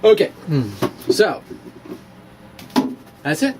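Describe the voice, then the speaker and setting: A man's voice: sits high, big pitch swings, extremely slow, noisy background. A man presenting a science vlog as a dramatic reading mixed with casual show-and-tell, with theatrical, emphatic phrasing and playful asides. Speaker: science vlogger presenting his own blog.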